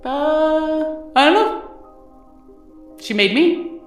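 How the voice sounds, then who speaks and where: A woman's voice: high-pitched, lively intonation, extremely slow, echoey room, soft background music, pitched above the performer's own voice, full character voice. A woman with a New Zealand accent voicing a simple, friendly male cloud servant who rambles naively in a tabletop game. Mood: naive, unsure.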